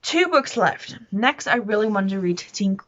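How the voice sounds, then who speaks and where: A woman's voice: medium pitch, lively intonation, measured pace, a bit bright, lo-fi sound. A woman talking casually as a book vlogger, in an enthusiastic, rambling and self-deprecating way. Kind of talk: casual chatty monologue to camera.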